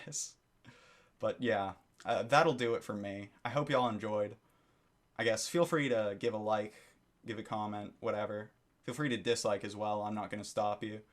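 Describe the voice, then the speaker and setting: A man giving a critical commentary monologue for his video channel, lecturing and disapproving, often repeating his phrases. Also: fairly low pitch. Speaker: YouTube commentator.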